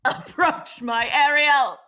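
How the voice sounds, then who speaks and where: A woman playing a weary but commanding old magician, speaking in measured declamation in a Shakespearean radio play. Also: high-pitched, lively intonation, sharp tone, exaggerated voice.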